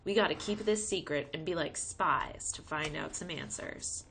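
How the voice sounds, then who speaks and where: A woman's voice: sits low, moderate pace, pushed and bright, a little hiss or hum. A woman reading a children's storybook, voicing a confident, playful boy who bosses his friends in breezy slang. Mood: conspiratorial. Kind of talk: read-aloud children's storybook.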